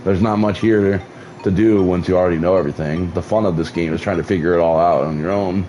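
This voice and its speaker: A man streams a game, chatting in a deep, mellow voice that sounds a bit worn, as if he is losing it. He rambles and restarts his sentences, swearing casually. Faint music plays underneath. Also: fairly even intonation, quick pace, poor audio. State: losing his voice, mouth numb. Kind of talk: casual gameplay commentary.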